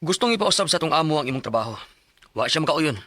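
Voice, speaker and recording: A man's voice: fairly high pitch, expressive, pushed and bright, no echo. An actor playing a breezy, demanding male company boss in a Bisaya radio drama, with a Cebuano accent. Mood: dismissive. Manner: brisk, bossy, casually dismissive.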